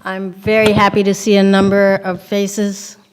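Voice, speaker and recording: An older woman presenting an event, reading a scripted introduction in a measured, formal way. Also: medium pitch, completely flat delivery, smooth. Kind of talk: scripted introduction read aloud. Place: synagogue sanctuary, microphone on stand. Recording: reverberant hall through podium microphone.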